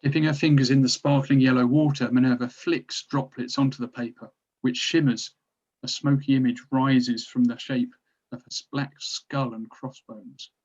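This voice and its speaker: A man's voice: mid-range voice, fairly even intonation, normal speed, darkish tone, dull audio. A man narrating stage directions from a script at a drama table read.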